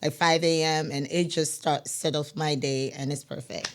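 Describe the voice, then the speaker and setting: A woman's voice: deep voice, fairly even intonation, normal speed. A woman from Nigeria and Ghana, a candid interview guest who laughs easily. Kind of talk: casual interview chat.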